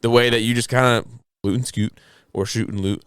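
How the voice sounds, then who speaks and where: A man chatting casually as a podcast co-host, with a clear, smooth voice. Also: mid-range voice, fairly even intonation, fairly quick, fairly bright tone, dry recording, clean studio sound. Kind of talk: casual chat.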